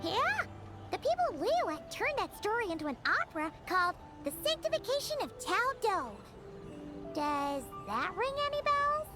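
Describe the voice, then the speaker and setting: A woman voicing a small, chatty, excitable childlike companion in a video game. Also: very high-pitched, moderate pace. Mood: excited, curious. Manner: exclamatory, chatty, refers to herself in third person. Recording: game dialogue with loud background music.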